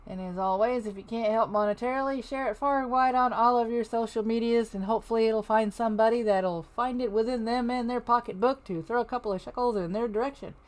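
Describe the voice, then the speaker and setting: A woman's voice: on the high side, steady tone, fast talker, few pauses. A woman hosting a livestream in a casual, chatty style. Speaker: livestream host. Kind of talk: casual chat.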